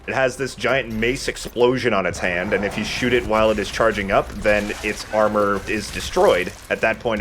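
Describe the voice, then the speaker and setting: A man's voice: fairly low pitch, noisy background. A man giving casual commentary on a video game, with a dry, opinionated way of talking. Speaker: let's play host.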